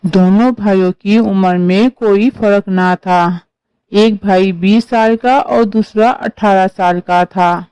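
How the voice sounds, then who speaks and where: A woman's voice: mid-range voice, fairly even intonation, takes it slowly, mellow, clean tone, dry recording. A woman narrating a scripted story as a storyteller.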